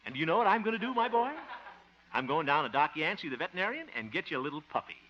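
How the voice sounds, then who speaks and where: An actor playing the fussy, easily exasperated lead of a 1950s radio sitcom, who chuckles often. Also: male voice, on the high side, sing-song, rapid-fire. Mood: earnest. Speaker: lead of the show, radio host character. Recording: muffled hissy 1950 radio transcription.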